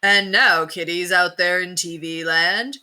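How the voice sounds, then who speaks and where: A folksy old sheriff who hosts a kids' TV show, acted out by a woman reading a storybook. He draws his words out slowly and sells hard, with a bright, hammy showman's swing. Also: on the low side, steady tone, clean tone. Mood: showy, coaxing. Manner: drawn-out, hammy showman delivery. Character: folksy, hard-selling old male TV sheriff host for children. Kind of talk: children's storybook read-aloud.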